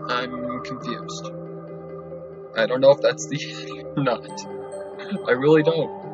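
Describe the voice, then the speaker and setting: A man reacting to a story, excitable and thinking out loud, swearing now and then. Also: mid-range voice, slow pace, loud music behind the voice. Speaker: reaction video host. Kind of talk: casual reaction commentary. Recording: dull lo-fi home recording.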